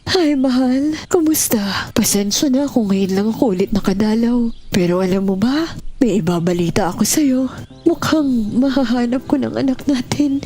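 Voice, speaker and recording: A woman's voice: on the high side, moderate pace, close to an everyday voice. A woman playing a tender, hopeful middle-aged mother with a Filipino accent, confiding earnestly in an audio drama. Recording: soft music behind the voice.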